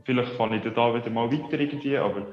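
A man's voice: medium pitch, fast. A man with a Swiss German accent speaks in a reflective, hesitant way as an interview guest. The recording sounds roomy and a little dull, with faint music underneath. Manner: reflective, hesitant, thinking aloud. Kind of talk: casual interview chat.

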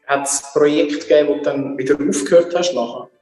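A male host asks curious, probing questions in a fairly high voice. The recording sounds roomy, with faint music underneath. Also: brisk, darkish tone, far from the mic. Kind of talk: casual interview chat.

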